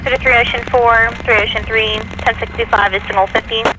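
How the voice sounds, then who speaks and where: Male radio dispatcher, high-pitched and even, matter-of-fact, through a noisy police scanner. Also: a little fast. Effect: two-way police radio. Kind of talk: clipped radio dispatch, phonetic call signs.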